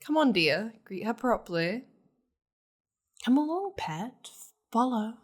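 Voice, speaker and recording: A woman's voice: fairly high pitch, big pitch swings, slow pace, full character voice. A woman voicing a possessive, wealthy demon lady in an intimate ASMR roleplay, flirtatious, teasing and commanding with haughty endearments. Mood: gracious, haughty.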